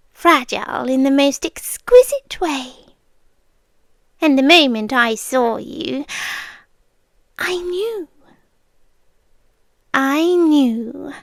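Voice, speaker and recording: A woman's voice: high voice, dry recording, full character voice. A British woman voicing a centuries-old vampire countess in a girlfriend ASMR roleplay, intimate and theatrical, possessive and adoring, often slipping into whispers.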